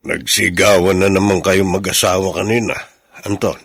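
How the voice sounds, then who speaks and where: A man playing a patient older father in a radio drama, with a Filipino accent and a measured, advising manner. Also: deep voice, flat delivery, fairly bright tone, no room sound, studio quality. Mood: grave, patient. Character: patient older father counselling his married son.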